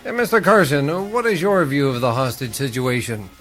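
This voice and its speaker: A man playing an earnest, formal television news anchor in satirical radio theater, hosting with measured, exasperated seriousness. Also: mid-range voice, sing-song, a bit bright, soft background music. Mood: formal, composed. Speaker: host of a parody news broadcast.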